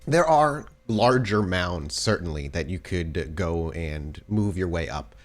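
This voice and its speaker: A man with a low voice acts as game master for a tabletop roleplaying game. He describes scenes in detail on the fly, often stopping to reword a phrase as he guides the players. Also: expressive, slow pace. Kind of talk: improvised scene narration and game refereeing.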